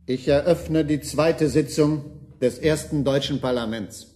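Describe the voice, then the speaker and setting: Slow, mellow, solemn parliament chairman, acted by a man. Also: a little high, slight background noise, close to an everyday voice. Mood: grave, alarmed. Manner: solemn, oratorical. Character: grave, formal chairman of a revolutionary parliament. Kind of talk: German radio play acting, formal address.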